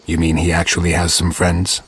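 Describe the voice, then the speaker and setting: A man voicing a gruff, weary monster hunter in a video game, terse and deadpan, with a raspy growl. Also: sits low, a little fast, no room sound, exaggerated voice. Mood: dry, terse.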